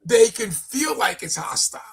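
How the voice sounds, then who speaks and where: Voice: high voice, wildly swooping pitch, moderate pace. A co-host on a faith podcast who steers the discussion and asks pointed questions.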